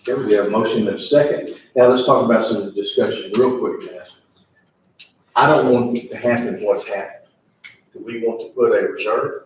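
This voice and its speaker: A man presides over an informal meeting. He talks in a folksy, halting way and circles back over his words. His voice is distant from the microphone, in a strongly echoing room with faint noise. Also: on the low side. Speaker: judge presiding over county commissioners meeting. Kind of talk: informal public meeting discussion.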